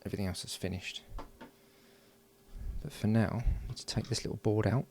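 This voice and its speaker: A man's voice: fairly low pitch, fairly even intonation, brisk, darkish tone, slight background noise. A man giving casual, unscripted hands-on commentary as he builds an electronics project, murmuring along while he works. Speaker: hobbyist electronics builder and presenter.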